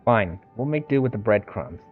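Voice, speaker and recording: A man's voice: lowish voice, lively intonation, normal speed. A man livestreaming a game, giving casual commentary and reading along. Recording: muffled mic over game music. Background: game music.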